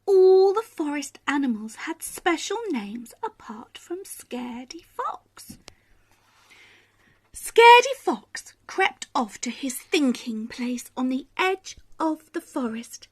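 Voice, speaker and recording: A woman reading a children's bedtime story expressively, with dramatic pauses and emphasis. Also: sits high, very animated.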